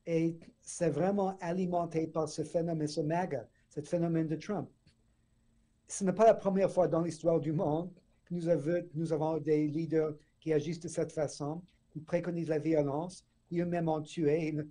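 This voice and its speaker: Earnest professor with a very dark voice. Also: male voice, a little high, fairly even intonation, normal speed. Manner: earnest, restarts words. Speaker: political science professor. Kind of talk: radio interview guest.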